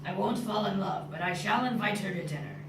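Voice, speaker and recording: A woman playing a gruff, short-tempered male beast in an amateur classroom play. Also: medium pitch, fairly quick, lightly acted. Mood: grudging. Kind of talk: amateur readers theater in drama class. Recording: noisy echoey room, distant mic.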